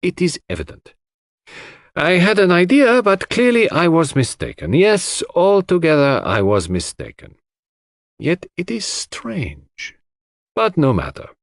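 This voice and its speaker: A man's voice: high-pitched, huge pitch swings, a little slow, no room sound, studio quality, pitched above the performer's own voice, heavily acted. A man voicing an elderly, quaint and self-assured foreign detective, in audiobook style. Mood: puzzled. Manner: theatrical, flippant turning grave. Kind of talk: audiobook character acting.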